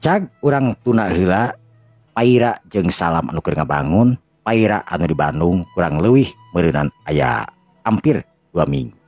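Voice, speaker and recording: Very deep, gravelly Sundanese male storyteller. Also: very animated, normal speed, no room sound. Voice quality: gravelly, husky. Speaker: storyteller and channel host. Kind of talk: Sundanese radio-style storytelling narration. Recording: dull lo-fi recording with music. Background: music.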